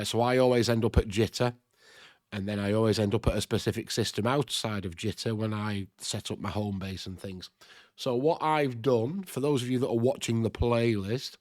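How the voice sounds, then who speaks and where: A man with a cold, his voice nasal and stuffed-up. He talks a casual game tutorial in a chatty, plain-spoken way at a middle pitch, thinking aloud as he goes. The recording is dry and close to the mic. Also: dry recording. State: congested with a cold.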